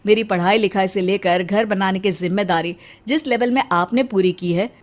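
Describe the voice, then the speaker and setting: Woman playing young man, cartoon son, medium female pitch, respectful, coaxing. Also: moderate pace, lightly acted. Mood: sincere. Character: tall, agreeable, respectful young adult son.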